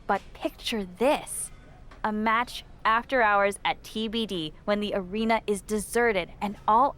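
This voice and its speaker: Woman acting a reassuring mid-pitched mentor figure. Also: a bit bright, natural voice. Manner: reassuring, earnest, dramatic pauses. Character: understanding, encouraging woman coaxing a stressed wrestler. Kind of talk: video game cutscene dialogue.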